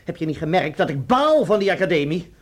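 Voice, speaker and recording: An actor playing a rebellious, resentful student son in a radio play, defiant and sarcastic. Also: male voice, on the high side, sing-song, brisk. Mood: fed up. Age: young adult. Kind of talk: Dutch radio play acting.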